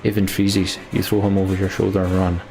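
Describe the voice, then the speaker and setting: A man with a gravelly voice reading a game's story text theatrically as a let's play streamer. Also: sits low, little pitch movement, a little fast, darkish tone. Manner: theatrical, acts out the characters' lines. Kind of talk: dramatic reading of game story text, with asides. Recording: game music behind the voice. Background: game music.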